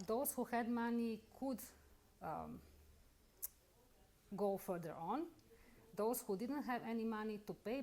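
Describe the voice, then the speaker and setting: A middle-aged woman with a Bosnian accent, carefully telling her own story in halting English during an interview. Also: mid-range voice, a little mellow. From Bosnia and Herzegovina. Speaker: interviewee, lawyer. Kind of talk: personal account in an interview.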